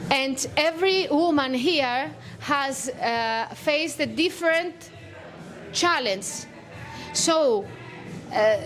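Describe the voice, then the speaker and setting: A woman moderating a roundtable, speaking slowly with many pauses and fillers, her first language Greek. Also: high voice. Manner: slow and halting, many pauses. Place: cigar bar, live event.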